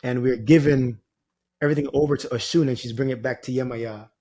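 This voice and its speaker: A man's voice: medium pitch, fairly even intonation, fast talker, dark tone. A man from Jamaica, talking reflectively as an interview guest in a meandering, informal way peppered with "you know" and "like". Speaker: spiritual guide, podcast guest.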